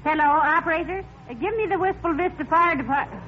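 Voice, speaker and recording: Brisk, high-voiced wife, noisy old radio. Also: female voice. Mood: brisk, obliging. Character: brisk, teasing wife of the fire commissioner. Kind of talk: 1940s radio comedy acting. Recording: muffled, noisy vintage radio.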